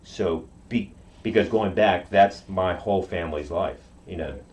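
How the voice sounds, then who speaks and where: Man from okinawa, japanese first language, low voice, keeps restarting, faint hiss behind. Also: darkish tone. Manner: halting, many restarts and fillers. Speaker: guest. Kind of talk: reflective interview storytelling.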